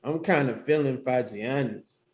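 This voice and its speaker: A man's voice: mid-range voice, measured pace, lightly acted. A man playing a laid-back, joking young friend with casual, slangy delivery in a screenplay table read.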